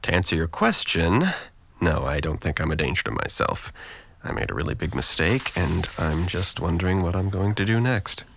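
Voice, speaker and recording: Actor as a deep, gravelly, weary young man. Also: lively intonation, moderate pace, a bit bright, exaggerated voice. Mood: weary, downcast. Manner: dry, weary, sardonic. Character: depressed young man in therapy, guarded, wry, self-deprecating. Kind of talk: audio drama acting.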